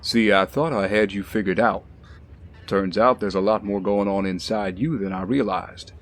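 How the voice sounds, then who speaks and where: A man playing a steady, loyal ex-soldier bodyguard in an audio drama, calm and measured. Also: fairly low pitch, lightly acted. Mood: calm, frank.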